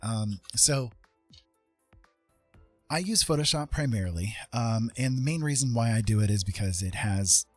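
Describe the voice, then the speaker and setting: A man in his fifties chatting casually on a livestream while drawing, in a friendly, rambling way, thinking out loud. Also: medium pitch, animated, normal speed, long pauses, soft background music. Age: 50s. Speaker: art livestream host.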